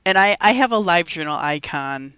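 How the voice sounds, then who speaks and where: A young woman co-hosting a casual gaming podcast, telling stories in a giggly, animated way. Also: lowish voice, brisk, very noisy. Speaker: podcast co-host. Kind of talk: casual chat about video games.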